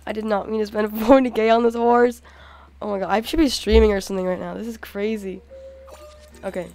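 A young woman with a cold talks over her gameplay, her voice hoarse and worn. She gets excitable, with lots of "oh my god" and "like" in casual commentary. Soft game music plays faintly underneath. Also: mid-range voice, a little fast, no echo. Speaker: gaming channel host.

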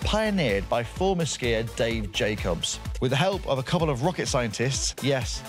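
Brief: male host in his thirties, scripted comic explainer, very animated pitch, music bed behind. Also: normal speed. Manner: playful, punning asides. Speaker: show host. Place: studio.

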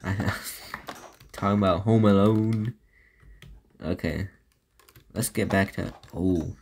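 Very deep-voiced Southern woman, slow rambling. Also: fairly even intonation, a little mellow, slight background noise. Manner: rambling, talks to herself between moves. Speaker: gaming YouTuber. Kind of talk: solo gameplay commentary.

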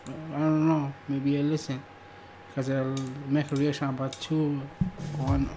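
Slow-talking Algerian man, halting English. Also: medium pitch, flat delivery. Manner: halting, simple broken English with repeated words. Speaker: reaction video host. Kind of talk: casual reaction vlog.

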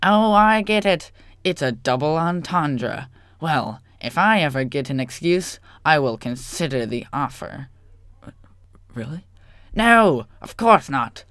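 A man as a cocky, slow-talking puppet brawler. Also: a little high, lively intonation, fairly bright tone, clear, resonant tone, no room sound, clean studio sound. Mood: mocking. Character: cocky, sarcastic, quarrelsome young sock puppet brawler.

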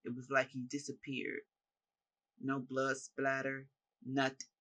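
A narrator voicing a seasoned male martial arts master who talks in a low, steady, explaining way. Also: takes it slowly. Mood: recounting.

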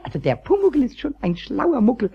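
An actor playing a mischievous childlike kobold in a children's radio play, raspy and croaky, stammering and repeating words when excited. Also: male voice, very high-pitched, a little fast, exaggerated voice. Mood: busy, pleased. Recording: dull old cassette recording.

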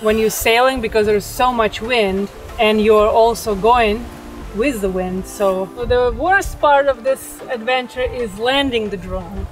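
A young woman co-hosting a sailing vlog, chatting casually and often laughing while out on a catamaran at sea. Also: on the high side. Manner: giggly, chatty.

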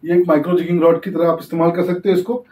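High-voiced man teaching from a distance. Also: little pitch movement, rapid-fire, mellow, a little hiss or hum. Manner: explains while demonstrating, mixing in English terms. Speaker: fishing channel host. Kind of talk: casual tutorial demonstration. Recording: echoey, distant from the mic.

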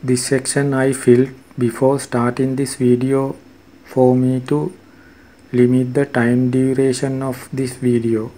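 A man reading scripted tutorial narration in a formal, measured, instructional way. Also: medium pitch, dark tone, slight background noise. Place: voice-over for a screen recording.

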